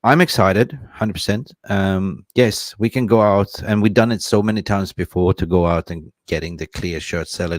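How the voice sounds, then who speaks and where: A man's voice: sits low, no room sound, studio quality. A man co-hosting a football talk show, passionate and emphatic, pushing his opinions in punchy phrases.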